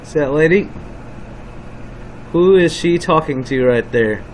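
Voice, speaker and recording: A man's voice: a little high, animated, a little slow, a little hiss or hum, rough recording. A man giving casual, insistent commentary over footage as the host of a video channel.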